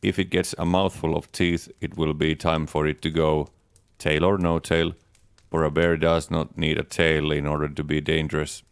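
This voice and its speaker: A man giving a measured, deadpan first-person reading of a comic diary, speaking as its writer. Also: extremely low pitch, smooth, dry recording, clean studio sound. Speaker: audiobook reader. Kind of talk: dramatic reading of a diary as Adam.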